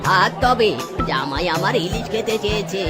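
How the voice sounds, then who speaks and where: A woman's voice: medium pitch, animated, normal speed, lightly acted. A woman voicing a picky older uncle shopping for fish in a children's cartoon. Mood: demanding. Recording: loud music and crowd behind.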